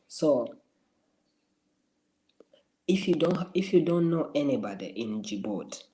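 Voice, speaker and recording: A man's voice: mid-range voice, very animated, measured pace, warm tone. A man from Somalia, hosting a casual livestream and giving encouraging advice to viewers, often repeating and restarting his phrases. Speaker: livestream host answering viewers.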